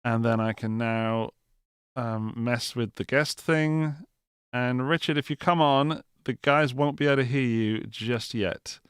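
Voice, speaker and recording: A man's voice: mid-range voice, a little slow, clear, resonant tone, no room sound, clean studio sound. A man from England with a Gloucestershire accent, hosting a live guitar podcast over a video call in a chatty, jokey way with many restarts. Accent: English, Gloucestershire. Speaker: podcast host. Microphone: studio microphone on a video call.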